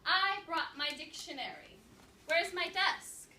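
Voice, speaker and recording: A woman's voice: very high voice, animated, takes it slowly, bright, forceful tone, voice pushed up, full character voice. A woman voicing a confident, emphatic little schoolgirl from a storybook, full of self-assurance. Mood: bold, confident. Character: bold, self-assured little schoolgirl, new second grader. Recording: roomy classroom, distant mic, background noise.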